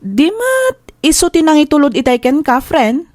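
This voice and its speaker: A woman's voice: sits high, very animated, moderate pace, darkish tone, dry recording. A Filipino woman acting in an audio drama as a supportive, teasing best friend who gives advice. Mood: curious.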